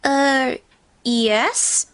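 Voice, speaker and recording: A woman's voice: high voice, fairly even intonation, extremely slow, fairly bright tone, full character voice. A woman voicing a haughty yet insecure young female pony in a cartoon fan fiction, whiny and theatrical. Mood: indignant.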